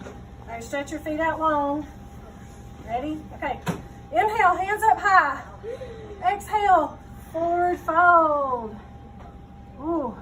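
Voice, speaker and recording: Woman, high voice, yoga teacher, short instructional cues, far from the mic, clear background noise. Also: expressive, slow, long pauses, pushed and bright. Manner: instructing step by step with frequent check-in questions. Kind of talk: leading a children's yoga class with short spoken cues. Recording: distant mic, clearly noisy room.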